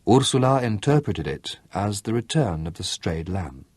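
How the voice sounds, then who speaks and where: A man reading a novel aloud as an audiobook narrator, measured and storytelling, with slow dramatic pauses. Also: fairly low pitch, sing-song, a little mellow, husky, no room sound. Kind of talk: scripted literary narration.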